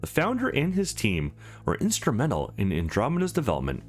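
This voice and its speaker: A man's voice: medium pitch, wildly swooping pitch, fairly quick. A man voicing an upbeat female company receptionist in a game, with polished, formal sales patter. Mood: informative. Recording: music behind the voice.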